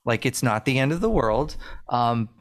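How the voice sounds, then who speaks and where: A man's voice: mid-range voice, expressive, normal speed. A man co-hosting a podcast, losing his voice, talking hesitantly with many fillers and restarts. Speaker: podcast co-host. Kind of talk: casual podcast chat.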